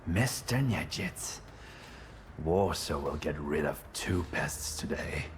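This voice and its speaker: An actor playing a menacing, smooth-talking police chief in a dramatic video game, speaking in a formal, taunting, measured way. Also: male voice, rumbling low, expressive, slight background noise, heavily acted. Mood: taunting, defiant. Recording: loud music under the voice.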